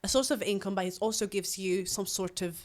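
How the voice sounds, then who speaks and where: A woman's voice: medium pitch, fairly even intonation, a little fast. A woman with a lilt hosting a podcast, who asks questions in a hesitant, stammering, friendly way. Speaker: podcast host. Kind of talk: casual podcast interviewing.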